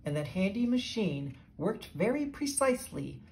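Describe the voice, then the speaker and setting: A woman reading a rhyming children's story aloud in an expressive storytelling style. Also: mid-range voice, moderate pace. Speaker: storybook reader.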